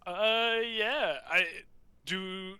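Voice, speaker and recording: A man's voice: sits high, extremely slow, sharp tone, smooth, no echo. A man playing a tabletop role-playing game, chatting casually in a joking, foul-mouthed way.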